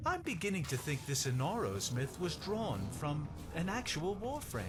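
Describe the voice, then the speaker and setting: An actor playing an anxious, haunted treasure seeker in a sci-fi video game, theatrical, halting and fretful in manner. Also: male voice, on the high side, wildly swooping pitch, loud music behind the voice. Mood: shaken, wistful. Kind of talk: dramatic video game character dialogue.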